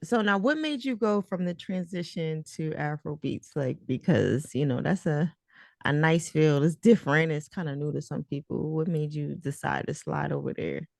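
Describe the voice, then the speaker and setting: Deep-voiced, gravelly woman interviewer. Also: moderate pace, a little mellow, dry recording, clean studio sound. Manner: informal, slangy, playful and teasing. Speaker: host and interviewer. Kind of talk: casual interview chat.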